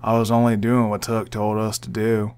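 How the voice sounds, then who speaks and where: A man's voice: lowish voice, monotone, moderate pace, darkish tone, clean tone, lightly acted. Man acting understated, guarded young man. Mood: guarded, sincere. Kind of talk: amateur audio drama acting.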